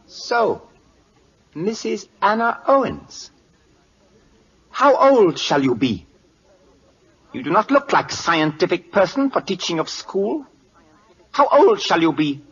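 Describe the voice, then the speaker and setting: A man's voice: sits high, big pitch swings, slow, pauses often, fairly bright tone, husky, full character voice. An actor playing an imperious, impatient King of Siam in an old radio drama, with a Siamese accent and broken English, barking repeated questions and commands. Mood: imperious, demanding. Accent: put-on Siamese. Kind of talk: 1940s radio drama acting. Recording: dull lo-fi old radio recording.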